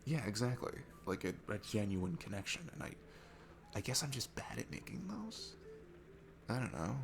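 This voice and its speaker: A man's voice: lowish voice, very animated, normal speed, creaky, noisy background. A young man playing a shy, nervous, sweet guy at a bar in an ASMR roleplay, talking in a hesitant, rambling, self-deprecating way. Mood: earnest, open. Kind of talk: ASMR roleplay, one-sided intimate conversation.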